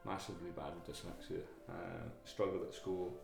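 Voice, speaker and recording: Low gravelly man, halting. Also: flat delivery. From Wales. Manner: halting, many fillers and restarts. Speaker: guest, army veteran. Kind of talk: candid personal interview. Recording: echoey room with music behind.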